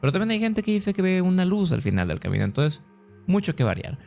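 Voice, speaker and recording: A man's voice: sits high, very animated, quick pace, vocal fry, a little mellow, soft background music. A man with a Mexican accent narrating a casual explainer in an informal, conversational way, speaking directly to viewers. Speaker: video host.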